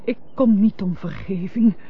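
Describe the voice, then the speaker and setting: A woman's voice: medium pitch, a little slow, husky. A woman playing a humble, remorseful noblewoman and estranged wife, formal and melodramatic in an old radio drama. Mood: penitent, sorrowful. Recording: muffled old radio recording, faint hiss.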